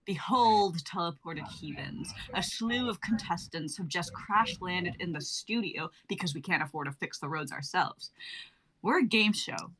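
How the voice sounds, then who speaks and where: Bright, lowish-voiced young woman narrating comedy. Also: lively intonation, measured pace, slight background noise, poor audio. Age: young adult. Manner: irreverent, declamatory. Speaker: narrator reading stage directions. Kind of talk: theatrical comedic script narration.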